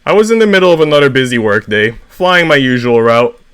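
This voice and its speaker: A man's voice: mid-range voice, sing-song, brisk, fairly bright tone, smooth. A man voicing an indignant, melodramatic honeybee, a devoted father, in a dramatic, aggrieved story reading.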